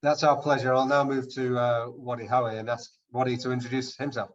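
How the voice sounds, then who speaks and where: A man moderating an online webinar, speaking in a measured, polite way with small restarts. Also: mid-range voice, little pitch movement. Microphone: video call. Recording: dull lo-fi call audio.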